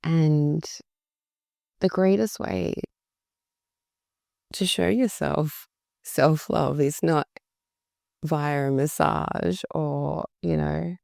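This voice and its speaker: Woman podcast host, voice deep and worn from overuse, slow and dark, reflective delivery. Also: steady tone, dry recording, clean studio sound. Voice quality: deep, gravelly. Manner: slow, reflective, repeats words for emphasis. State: voice worn out from talking a lot. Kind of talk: solo podcast monologue.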